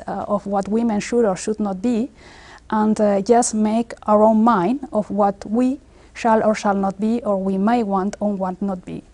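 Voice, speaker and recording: A woman in her forties from Spain, speaking English with a Spanish accent in a seated office interview, earnest and measured with many filler pauses. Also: medium pitch, creaky, dark tone. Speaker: space agency engineer. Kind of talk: documentary interview. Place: office with large screen and window.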